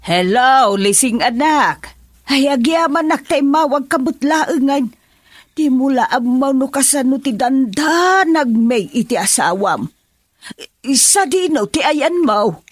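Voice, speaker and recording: A gentle, anxious mother-in-law in a radio drama. She talks slowly with a husky, breathy softness. The sound is dry and close. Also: sits high, lively intonation, no room sound, full character voice. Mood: pleading. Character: worried older mother-in-law, gentle and pleading.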